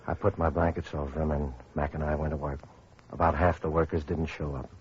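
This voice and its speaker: A man's voice: a bass voice, little pitch movement, fairly quick, slight background noise. An actor playing a sober, caring survivor who narrates his hardship plainly in an old-time radio drama. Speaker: narrator and character. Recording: dull vintage radio recording, faint music.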